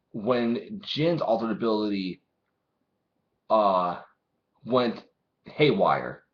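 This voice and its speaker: Casual man reading along, dull lo-fi sound. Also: medium pitch. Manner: informal, swearing, acts out dialogue. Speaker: manga reviewer reading chapters aloud. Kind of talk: casual read-along narration with commentary.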